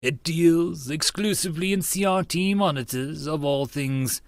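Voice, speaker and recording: A man's voice: on the high side, unhurried, no room sound, clean studio sound. A man voicing a grandiose young mad scientist from a visual novel, theatrical, pompous and condescending. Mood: lecturing.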